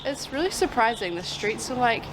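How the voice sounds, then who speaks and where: A woman's voice: a little high, big pitch swings, normal speed. A young woman, chatting casually as a travel vlogger, full of "like" fillers, talking outdoors into a handheld camera. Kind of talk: casual vlog narration. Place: outdoors on city streets. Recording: loud street noise outdoors.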